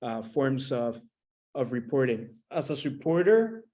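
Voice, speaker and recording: A man's voice: mid-range voice, animated, a little slow, warm tone. A male guest panelist gives an explanatory webinar presentation. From Costa Rica. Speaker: guest panelist, co-founder of a climate platform.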